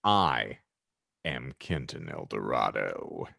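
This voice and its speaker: A man's voice: very deep voice, very animated, takes it slowly, creaky, pushed and bright, dry recording, clean studio sound. A man hosting a casual comedy podcast, joking in wordy, mock-formal turns of phrase. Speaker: podcast host.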